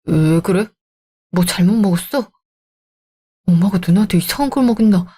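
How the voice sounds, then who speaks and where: A woman's voice: medium pitch, moderate pace, warm tone, husky, pitched below the performer's own voice, lightly acted. Brief: a woman playing a cheeky kid brother who mocks his sister, radio drama. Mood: teasing. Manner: teasing, sarcastic. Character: teasing younger brother, cheeky young man.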